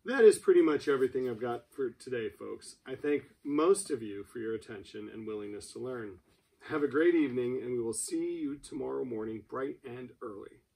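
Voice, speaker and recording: Officious, dismissive male administrator, read by a man, gravelly and husky, amateur drama reading. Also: mid-range voice, expressive, moderate pace, warm tone, rough recording. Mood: formal.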